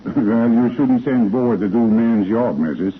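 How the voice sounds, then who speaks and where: A man's voice: medium pitch, measured pace, natural voice. An actor playing a blunt Scandinavian handyman in broken English, in a 1940s radio comedy. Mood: gruff teasing. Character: blunt Scandinavian handyman and former lumber foreman. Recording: very noisy, tinny vintage radio recording.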